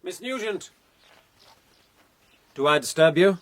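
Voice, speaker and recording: An actor playing an honourable young lord with an upper-class English accent in a period radio drama. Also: male voice, high-pitched, lively intonation, slow pace, fairly bright tone, dry recording, full character voice. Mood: courteous.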